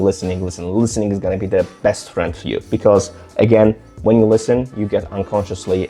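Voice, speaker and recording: Young azerbaijani guy, mostly american accent w a bit of british, lowish voice, lots of "like"s, faint music behind. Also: dark tone. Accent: mostly American with some British. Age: young adult. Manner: rambling, many "like" fillers and restarts. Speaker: language-learning vlogger. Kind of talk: casual advice talk to camera.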